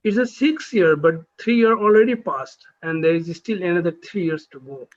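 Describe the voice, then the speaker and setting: A man who is a neurosurgery trainee, chatting on a video call and asking halting, filler-heavy questions. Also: sits high, dull audio. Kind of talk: casual video call chat.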